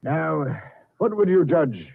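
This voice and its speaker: An actor in an old radio drama playing a courteous, unflappable Martian who speaks formally and precisely. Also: male voice, fairly high pitch, very animated, slow pace, creaky, narrow, tinny audio, full character voice. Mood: formal, assured.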